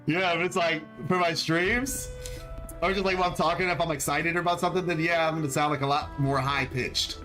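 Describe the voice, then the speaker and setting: A man's voice: fairly high pitch, fairly even intonation, brisk, bright, forceful tone, soft background music. A man chatting casually on a game stream, rambling with lots of fillers in a laid-back way. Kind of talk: casual live-stream chat.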